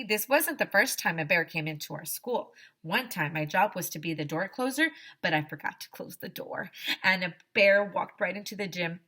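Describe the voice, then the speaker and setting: A woman teacher reading a children's story aloud in an expressive, emphatic way. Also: mid-range voice.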